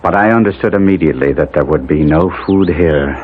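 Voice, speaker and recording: An actor playing an anguished nobleman trapped in a monster's body, narrating theatrically and deliberately in a 1970s radio drama. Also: rumbling low, husky. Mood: weary, wretched. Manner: theatrical, florid, deliberate storytelling. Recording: lo-fi phone-like vintage radio broadcast.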